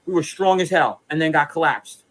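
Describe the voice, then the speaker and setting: A man's voice: a little high, a little fast, a bit bright. A man, delivering a defiant, boastful, profane monologue. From New York City. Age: 30s. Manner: blunt, boastful, insistent, profane. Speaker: former mobster making a video statement. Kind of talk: defiant personal monologue to camera.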